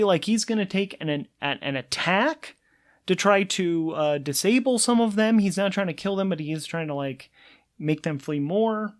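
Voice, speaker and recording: A man narrating a solo tabletop game as its game master and player, thinking aloud in an informal, animated way. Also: a little high.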